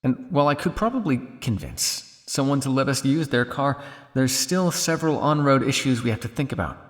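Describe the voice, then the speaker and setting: A man's voice: medium pitch, animated, brisk, in a large hall, natural voice. A man playing a cynical, world-weary vampire scientist on the run in an intimate, condescending ASMR roleplay monologue. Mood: dry, sardonic. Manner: sardonic, condescending, with exasperated sighs and dry asides.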